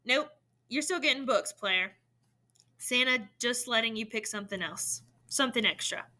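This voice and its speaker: A woman's voice: on the high side, normal speed, pushed and bright. A woman voicing a teasing, boastful father of teenage boys in a story, with playful slangy talk. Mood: playful, firm.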